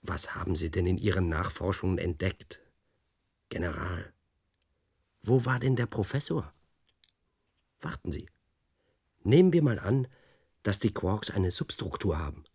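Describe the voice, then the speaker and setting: A suspicious, agitated man in a radio play. His deep voice is slightly rough. He probes and repeats himself insistently. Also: animated, a little slow, long pauses, mellow, full character voice. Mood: probing, insistent. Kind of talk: German radio play acting.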